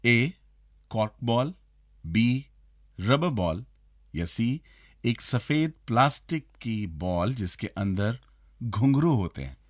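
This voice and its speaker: A man's voice: medium pitch, lively intonation, takes it slowly, pauses often, dry recording, studio quality. An Indian man co-hosting a radio show, politely teasing, with scripted announcements and light banter.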